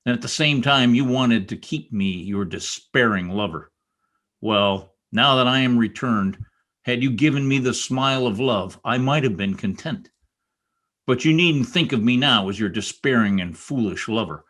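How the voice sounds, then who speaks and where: An actor playing a brooding, bitter, vengeful spurned lover in an old-time radio drama, speaking formally and intensely. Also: male voice, medium pitch, normal speed, fairly bright tone, close to an everyday voice. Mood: bitter, accusing. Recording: remote video-call recording.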